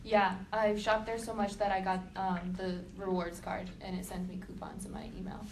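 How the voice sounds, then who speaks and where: A 21-year-old woman answers focus group questions in a casual, upbeat way. She sounds far from the mic in an echoey room, with steady background noise. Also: medium pitch, normal speed.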